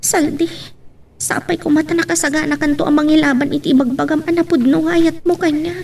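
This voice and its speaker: Very high-pitched meek young Ilocano housemaid played by an actress. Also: female voice, steady tone, a little fast, gravelly, a little mellow. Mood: tender, hopeful. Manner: hesitant, deferential. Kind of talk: Ilocano melodrama acting.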